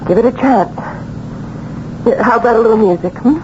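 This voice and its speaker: A woman's voice: fairly high pitch, brisk, natural voice. A woman acting an anxious, devoted wife in an old-time radio drama. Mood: coaxing, reassuring. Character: anxious, devoted wife urging her troubled husband on. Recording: muffled, noisy old radio recording.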